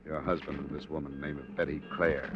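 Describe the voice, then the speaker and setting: Deep-voiced radio detective, vintage sound. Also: male voice, flat delivery. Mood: pressing. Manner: measured, matter-of-fact. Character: cool, probing male insurance investigator narrating his case. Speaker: detective lead and narrator. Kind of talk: 1950s radio detective narration and dialogue. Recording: dull vintage radio recording with music.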